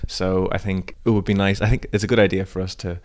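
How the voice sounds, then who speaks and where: Croaky man with a cold. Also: deep voice, little pitch movement, quick pace, darkish tone. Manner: hesitant, stumbling over words. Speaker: podcast co-host. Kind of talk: casual chat.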